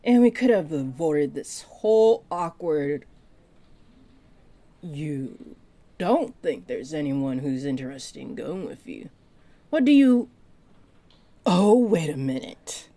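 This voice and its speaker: A woman's voice: low voice, lively intonation, slow, pauses often, warm tone, heavily acted. A woman voicing a flirty, possessive half-dragon librarian in a roleplay audio, speaking in a coy, playful drawl. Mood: flirty, probing. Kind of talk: roleplay audio acting addressed to the listener.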